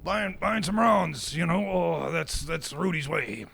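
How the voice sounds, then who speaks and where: A man playing a surly, boozy, rambling radio sidekick who mutters and repeats himself in a gravelly voice. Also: a little high, lively intonation, measured pace, a bit bright, exaggerated voice. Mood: rambling, excited. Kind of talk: improvised radio comedy sketch.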